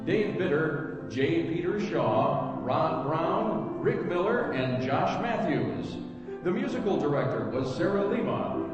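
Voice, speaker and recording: Male live radio announcer, formal delivery, higher register, big reverberant hall with music. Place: performing arts center stage. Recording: very echoey hall, music behind.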